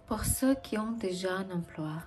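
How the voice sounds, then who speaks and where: A woman with a Ukrainian accent giving a tarot reading to viewers as host, in deliberate, halting phrases. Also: medium pitch, expressive, darkish tone, faint music behind. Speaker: tarot reader and channel host.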